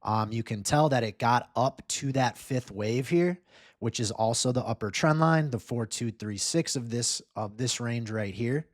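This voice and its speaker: A man's voice: medium pitch, measured pace, no echo, studio quality. A man hosting casual market commentary, talking informally and upbeat, straight to his viewers. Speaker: host, crypto market analyst. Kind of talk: casual chart commentary to camera or screen share.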